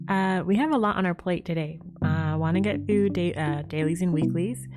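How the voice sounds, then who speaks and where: A woman's voice: lowish voice, moderate pace, music in the background. A woman livestreamer chatting casually and a little hesitantly to viewers in an easygoing way. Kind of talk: casual gaming stream chat.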